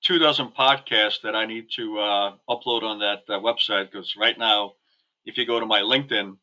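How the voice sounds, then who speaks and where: Hungarian-born male guest, lowish and very bright voice, easygoing, filler-heavy talk. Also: steady tone, normal speed. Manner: chatty, many fillers. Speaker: podcast guest. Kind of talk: casual interview talk.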